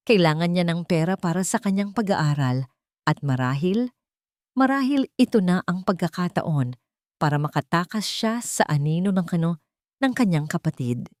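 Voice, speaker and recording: Woman narrator, lowish pitch, dry studio-like sound, dramatic romance storytelling. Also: darkish tone, dry recording.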